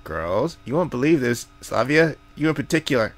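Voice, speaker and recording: Man putting on playful, raised-pitch visual novel girls. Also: sing-song, normal speed, lightly acted. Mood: excited teasing. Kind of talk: character reading in a visual novel playthrough.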